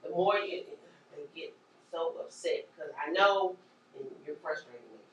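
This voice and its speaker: Woman trainer, loud and animated, teaching caregivers; roomy distant sound. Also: sits low, moderate pace, dull audio. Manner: animated, joking, acts out scenes, Southern-style casual grammar. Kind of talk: lively workshop teaching. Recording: echoey room from far off, faint noise.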